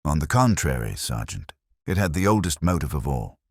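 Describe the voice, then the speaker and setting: Detective drama inspector, played by a male narrator: very low, measured, wry, animated intonation, pointed questioning. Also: no echo, studio quality, full character voice. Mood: grave, wry. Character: gentle, wry, probing male police inspector.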